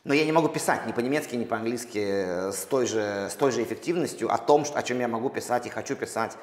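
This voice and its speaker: A man from Russia, a poet, answering interview questions slowly and thoughtfully, with many pauses and restarts. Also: mid-range voice. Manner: halting, many fillers and restarts. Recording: echoey room with long reverb.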